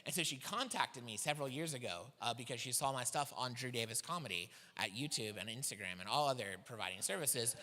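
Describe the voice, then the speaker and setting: A young man doing a stand-up set in a church hall, with a high voice for his size and a self-deprecating, animated way of telling stories. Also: fast, few pauses. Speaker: stand-up comedian. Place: church hall with live audience. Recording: long hall reverb from a church stage.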